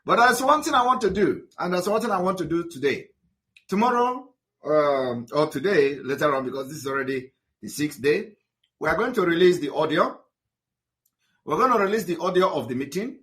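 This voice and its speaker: Bright, high-pitched man. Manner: combative, mixing English with Igbo; halting, repeats words and fillers. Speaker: activist addressing followers. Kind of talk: livestream address to followers.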